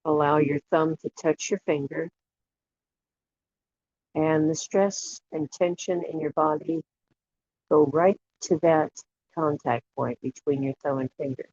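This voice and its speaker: A guided imagery facilitator leading a relaxation meditation in a soothing, unhurried way, leaving long pauses between short phrases. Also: medium pitch, fairly even intonation, a little mellow. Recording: dull lo-fi audio with clear background noise and clicks.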